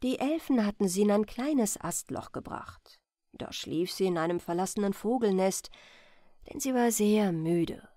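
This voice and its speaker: A woman reading a bedtime fairy tale as scripted audiobook narration, in an expressive, deliberate storytelling manner. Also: medium pitch, no echo, studio quality. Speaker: audiobook reader.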